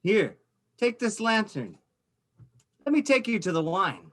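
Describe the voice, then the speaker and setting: A man's voice: high voice, sing-song, measured pace, fairly bright tone, exaggerated voice. A man playing a scheming nobleman who feigns concern for his friend, theatrical and coaxing, in a period stage drama. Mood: smoothly coaxing. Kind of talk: theatrical period drama acting over video call. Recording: home video call recording with music.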